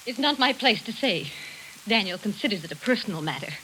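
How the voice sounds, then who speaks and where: A woman's voice: medium pitch, lively intonation, fairly quick, bright, forceful tone, low-quality recording. An actress playing a proper, evasive middle-aged mother in a 1940s radio drama. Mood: insistent, evasive. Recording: hissy old radio recording.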